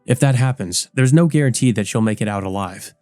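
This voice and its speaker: A man voicing an earnest, resolute teenage boy from a visual novel, measured and determined. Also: fairly low pitch, darkish tone, no echo, soft background music, natural voice. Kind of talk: visual novel character reading.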